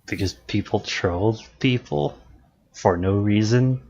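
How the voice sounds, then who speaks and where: A man's voice: lowish voice, takes it slowly, creaky, a little mellow, husky. A man hosting a live-stream interview, talking in a dry, matter-of-fact way. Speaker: stream host. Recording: dull lo-fi stream audio.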